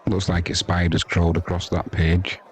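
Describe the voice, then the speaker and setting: A man's voice: low-pitched, completely flat delivery, normal speed, slightly rough. A man with an English accent giving casual, rambling, chatty live cricket commentary as the host of a sports stream.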